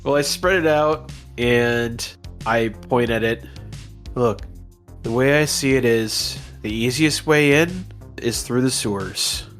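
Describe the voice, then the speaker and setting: A man's voice: medium pitch, takes it slowly, fairly bright tone, faint music behind. A man playing a tabletop game, talking through his moves in casual role-play banter.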